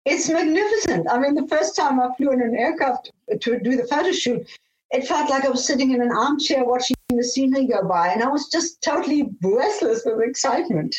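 A woman's voice: high-pitched, fairly quick, a little mellow, reverberant, poor audio. An older woman from Namibia telling her life story as an interview guest, enthusiastic and often restarting her phrases. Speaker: guest, former airline pilot.

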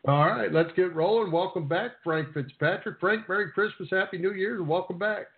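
A man's voice: high-pitched, brisk, phone-quality sound. A man hosting a sports radio show, introducing guests and asking questions conversationally.

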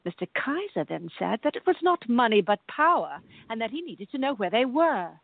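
Woman as genteel lady novelist, husky and lively. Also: on the high side, normal speed, no echo, full character voice. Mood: troubled. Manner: formal, period diction. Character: Jane Austen, genteel woman novelist, tender and composed. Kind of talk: radio play acting. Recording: dull lo-fi, music behind.

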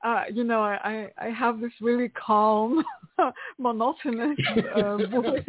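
Slow-talking woman poet on a phone line. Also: on the high side. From Greece. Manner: thoughtful, hesitant, laughs often between phrases. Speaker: guest poet. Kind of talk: conversational interview.

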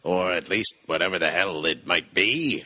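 A man's voice: deep voice, moderate pace, breathy edge, dry recording, narrow, tinny audio. A man playing a pompous, mock-dramatic announcer in an old-time radio serial, with a theatrical delivery. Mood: mock suspense. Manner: theatrical, tongue-in-cheek grandiose delivery. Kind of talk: old radio drama narration and sponsor spot.

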